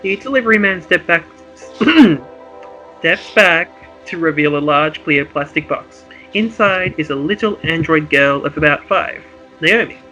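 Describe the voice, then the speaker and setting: A man co-hosting a podcast, chatting and reading script directions in a slightly halting, conversational way. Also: on the high side, animated, bright, forceful tone, lo-fi sound, faint music behind. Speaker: podcast co-host and script narrator. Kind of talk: casual chat and reading of screen directions.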